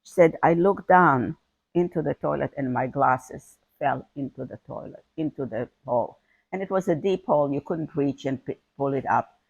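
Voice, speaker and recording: An older woman from Hungary, giving a halting personal account with frequent repeated words. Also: very deep voice, vocal fry, slightly rough, dull audio. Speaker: interviewee. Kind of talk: personal testimony.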